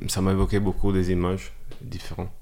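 A man's voice: deep voice, monotone, brisk, dark tone. A man whose first language is Italian, a musician guest speaking hesitantly and searching for words in an interview. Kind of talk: casual interview talk.

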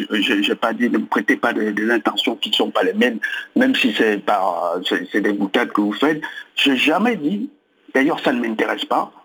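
A man in a heated radio debate who talks haltingly and defensively, with many restarts. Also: mid-range voice, fairly bright tone, husky, poor audio.

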